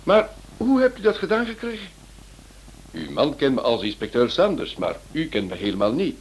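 An actor playing a shrewd, courteous writer and amateur sleuth in a radio play, with an animated, probing manner. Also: male voice, on the high side, breathy edge, heavily acted. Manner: animated, polite, probing, swings between brisk and hesitant. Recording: noisy old radio drama recording.

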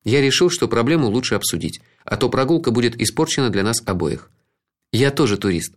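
Brief: male narrator with a mid-pitched, animated voice, wry reflective storytelling. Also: quick pace. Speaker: audiobook narrator. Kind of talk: first-person literary narration.

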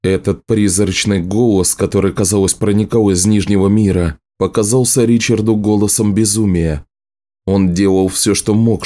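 A man reading a novel aloud as an audiobook narrator, in a measured, serious storytelling manner. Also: on the low side, mellow. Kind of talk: scripted literary narration.

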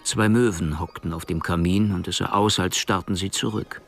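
A man's voice: low-pitched, fairly even intonation, moderate pace, soft background music. A man narrating a crime story as the scripted storyteller of a radio drama.

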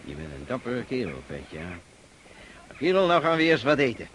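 A man acting a seasoned army commander in a radio drama, husky, low-key and fatherly with wry amusement. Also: wildly swooping pitch, a little fast, a bit bright, a little hiss or hum. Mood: fatherly, warm.

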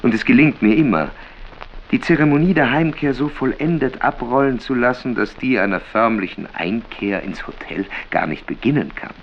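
An actor playing a fondly ironic itinerant writer in a literary radio play, telling things in measured, ornate phrases. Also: male voice, medium pitch, animated, natural voice. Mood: wry, fond. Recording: dull, noisy, rain behind.

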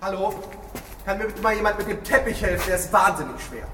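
An actor, heard as a man by pitch measures or a woman, playing a brisk, cheerful crime-scene worker in a radio drama. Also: animated, sharp tone, soft background music. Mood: brisk, upbeat.

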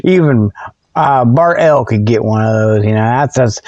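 A man's voice: mid-range voice, normal speed, a little mellow, highs cut off. A man hosting a comedy report show as a folksy, rambling monologue, often backtracking and repeating himself.

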